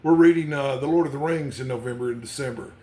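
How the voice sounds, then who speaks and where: A man's voice: medium pitch, quick pace, a little mellow. A man hosting a book channel, talking casually and conversationally without a script, in a rambling way. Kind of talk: casual unscripted book vlog.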